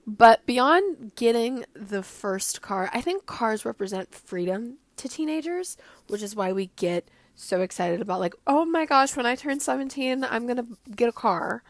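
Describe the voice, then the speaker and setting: A teenage girl hosting her own podcast, slightly hoarse from a cold, chatting casually and rambling with lots of fillers. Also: fairly high pitch, animated, normal speed, no echo. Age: teens. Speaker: podcast host. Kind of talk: casual solo podcast chat.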